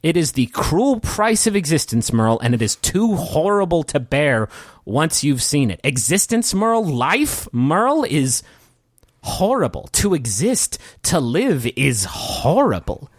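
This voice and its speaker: A man playing a weary, quietly despairing nihilist villain in a tabletop game, given to halting, grandiose philosophical monologues. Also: on the high side, sing-song, no room sound, studio quality. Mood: anguished, despairing.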